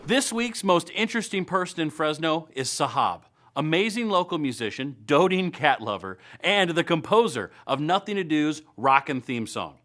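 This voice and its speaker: Animated, higher-voiced American man hosting. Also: moderate pace, few pauses. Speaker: web series host. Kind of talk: scripted presenting to camera. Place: indoor studio.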